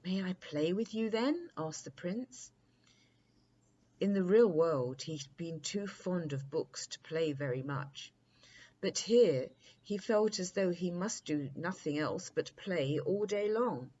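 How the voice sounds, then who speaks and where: A woman narrates a children's bedtime story in a low voice. She takes her time over each phrase. The sound is somewhat dull, with a faint layer of noise. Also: expressive, slow, mellow.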